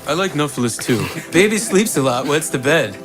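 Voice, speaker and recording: A man's voice: on the high side, sing-song, moderate pace, a bit bright, soft background music. A man playing a concerned, tattling toy in an improvised comedy audio drama.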